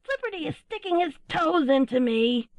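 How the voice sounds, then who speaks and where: Female reader as squabbling owlet: high, squeaky, whiny, childish storybook creature. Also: sing-song, normal speed, a little mellow, no room sound. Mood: squabbling, complaining. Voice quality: whining, squeaky. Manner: childish bickering, drawn out. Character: whining, squabbling baby owls, small and squeaky.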